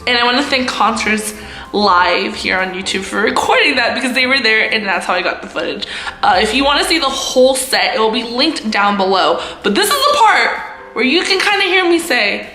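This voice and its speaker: A woman in her twenties chats casually to camera in a loud, forceful, bright voice. Her delivery is giggly and self-mocking. The room adds echo, and faint music plays behind her. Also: fairly high pitch, lively intonation, brisk. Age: 20s. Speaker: vlogger, host of own channel. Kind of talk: casual storytime chat to camera.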